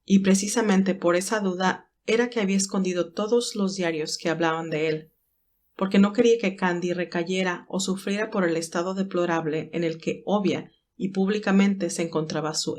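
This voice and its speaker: A woman's voice: on the low side, darkish tone. A woman reading scripted, dramatic narration of a romantic story as its narrator.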